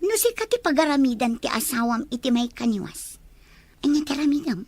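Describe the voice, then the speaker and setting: A woman's voice: sits high, big pitch swings, fairly quick, a little mellow, slightly rough, no room sound, full character voice. A woman playing a probing, insinuating confidante in an audio drama.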